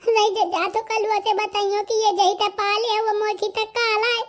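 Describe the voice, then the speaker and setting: A tiny, squeaky male villager pleads his innocence in a comedy cartoon. The voice is extremely high, almost childlike, with a Bundelkhandi accent and a protesting manner. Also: level tone, normal speed, fairly bright tone. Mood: pleading. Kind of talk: comic cartoon dialogue.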